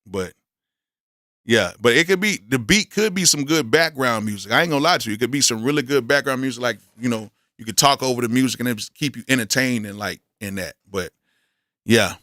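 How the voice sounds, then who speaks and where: A man hosting a live stream, reviewing beats in casual, blunt commentary, hoarse from yelling earlier and full of exclamations. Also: mid-range voice, expressive, brisk, no room sound, studio quality. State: hoarse, losing his voice from yelling.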